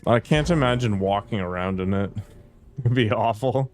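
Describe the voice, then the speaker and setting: A man's voice: on the low side, moderate pace, faint music behind. A man casually commenting with wry asides while playing a video game. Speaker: gameplay streamer. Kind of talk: let's play commentary.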